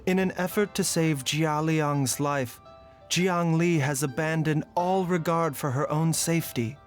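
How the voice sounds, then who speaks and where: Male actor for game doctor: polite, formal, soft, slightly higher voice. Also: faint music behind, close to an everyday voice. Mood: grave. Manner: measured, formal, soft-spoken. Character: calm, courteous male doctor of herbal medicine. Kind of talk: game voice acting.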